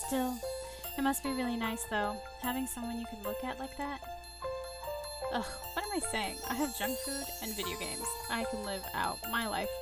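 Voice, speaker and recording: A woman reading a visual novel aloud as expressive first-person story narration. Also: fairly high pitch, moderate pace. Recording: music under the voice. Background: clear background music.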